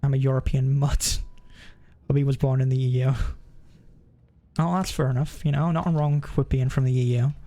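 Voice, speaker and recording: A man's voice: mid-range voice, fairly even intonation, moderate pace, warm tone. A young man from the United Kingdom streaming a game, chatting casually with viewers in a rambling, hesitant way, often correcting himself and joking. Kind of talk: casual chat with stream viewers while gaming.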